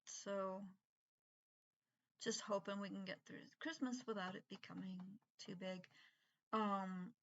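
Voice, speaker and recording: A middle-aged woman with an unusual voice from breathing troubles, rambling in a halting, casual monologue full of fillers and restarts. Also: mid-range voice, a bit bright, dull audio. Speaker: vlogger.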